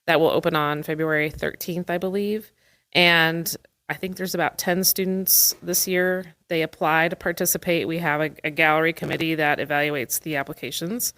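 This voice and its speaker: A woman with a slightly low voice speaks as an interview guest. She represents an arts center and explains things conversationally. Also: fairly even intonation, moderate pace, fairly bright tone.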